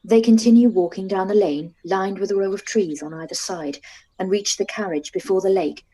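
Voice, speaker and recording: A woman reading the stage directions of a radio play with a mellow, soft-edged voice and a touch of huskiness. Her reading is calm and even. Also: medium pitch, normal speed, husky, low-quality recording. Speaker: narrator.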